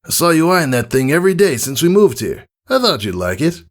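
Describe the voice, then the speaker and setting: A man's voice: fairly high pitch, sing-song, brisk, pitched above the performer's own voice. A man voicing a kindly, composed father pony in a story reading. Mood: affectionate.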